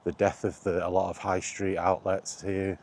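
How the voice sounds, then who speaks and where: A man's voice: low voice, little pitch movement, moderate pace, a little mellow. A British man giving a rambling, casual vlog chat in a blunt, opinionated manner. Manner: opinionated, blunt, mildly sweary. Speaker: video blogger.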